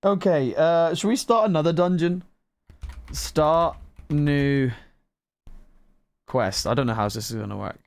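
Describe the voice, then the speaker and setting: A gaming host giving casual, excitable gameplay commentary, exclaiming and musing aloud. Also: mid-range voice, unhurried, long pauses. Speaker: gaming host trying out a game.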